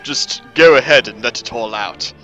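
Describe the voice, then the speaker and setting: A man's voice: mid-range voice, normal speed, sharp tone, muffled recording, soft background music, natural voice. A man voicing a polite young servant girl who speaks deferentially to her mistress in a dramatic story reading. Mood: reassuring. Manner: deferential, exclaiming.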